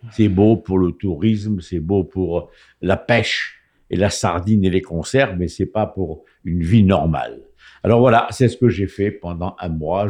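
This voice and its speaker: A man's voice: deep voice, darkish tone. A man from Morocco speaking on a radio talk show, hesitant, with frequent fillers and restarts. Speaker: guest commentator. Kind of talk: casual radio chat. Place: radio studio.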